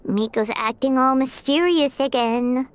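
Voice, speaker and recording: A woman voicing a tiny childlike fairy companion in an anime game, squeaky, exclamatory, curious and excitable. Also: measured pace, slight background noise. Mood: worried. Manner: squeaky, exclamatory, refers to herself by name.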